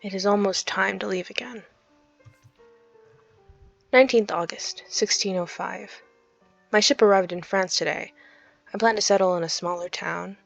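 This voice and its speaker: A weary, centuries-old demon recites his journal , voiced by a woman. The delivery is halting and brooding, in a dry space with faint music behind. It belongs to a dark visual novel. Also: mid-range voice, creaky, dry recording, highs cut off. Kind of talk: dramatic reading of journal entries.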